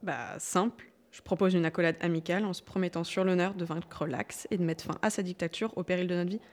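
A woman playing a teasing young resistance fighter in an audio drama, brisk in manner. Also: on the low side, fairly even intonation, close to an everyday voice.

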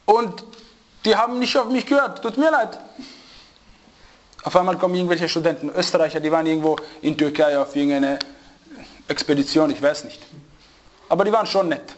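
High-voiced man telling a story in a sermon. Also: fairly quick, long pauses. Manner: storytelling, lively, mixes in Arabic phrases. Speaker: preacher giving a religious lesson. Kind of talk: informal sermon with anecdotes. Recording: dull lo-fi recording.

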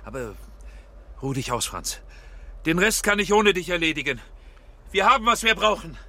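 Sharp, high-voiced film director. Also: male voice, very animated, moderate pace, distant mic, slight background noise, exaggerated voice. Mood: placating. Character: intense film director absorbed in his work, a husband. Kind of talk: German radio drama acting.

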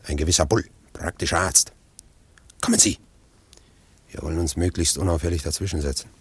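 Close and dry, a very deep, husky male voice. It is a discreet anarchist secretary in a radio play, grave and measured in manner. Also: fairly even intonation, no echo. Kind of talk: German radio play acting.

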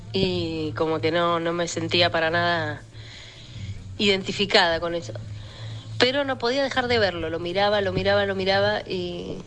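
A woman with an Argentine accent telling a personal anecdote in a reflective, halting way, as in a voice message. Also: medium pitch, fairly bright tone, rough recording.

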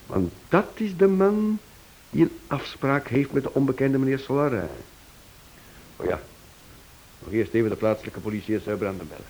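An actor playing a wry, unruffled husband in a radio play, speaking dryly and ironically on an old recording. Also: male voice, mid-range voice, animated, darkish tone, a little hiss or hum, lightly acted, poor audio. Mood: composed. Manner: dry, ironic, unhurried. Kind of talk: Dutch-language radio play acting.

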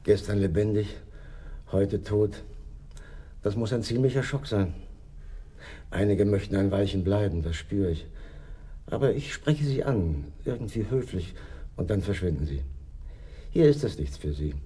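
Dark, husky, eccentric morgue attendant. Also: male voice, lowish voice, animated, unhurried, pauses often. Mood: musing, confiding. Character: eccentric, gentle morgue attendant, self-declared crazy fellow. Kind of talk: radio play acting.

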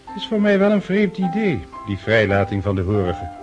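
An actor playing a genial, talkative middle-aged count in a Dutch radio drama. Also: male voice, on the low side, very animated, a little fast. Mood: genial, musing. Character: genial older Russian count, talkative, careless with money. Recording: muffled old radio recording, music behind.

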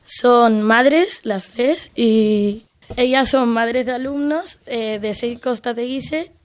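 A woman's voice: on the high side, takes it slowly. A woman introducing a radio interview segment with plain, slightly hesitant phrasing. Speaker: program presenter.

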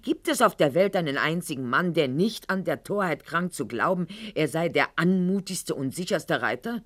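An actress playing a proud, wounded middle-aged aristocratic lady in a radio play monologue, articulate and ironic, with weighted pauses. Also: sits low, lively intonation, fairly bright tone. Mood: haughty, animated.

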